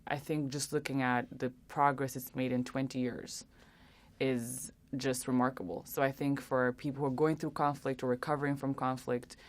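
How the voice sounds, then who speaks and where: A young woman answering interview questions earnestly, with many pauses and restarts. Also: extremely low pitch, flat delivery. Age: young adult. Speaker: interview guest.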